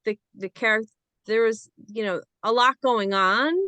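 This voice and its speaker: A woman's voice: on the high side, moderate pace, pushed and bright, no room sound. A woman actor on a reading panel giving her reaction to a script. She rambles, leaning heavily on "like" and "you know". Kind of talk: casual feedback discussion.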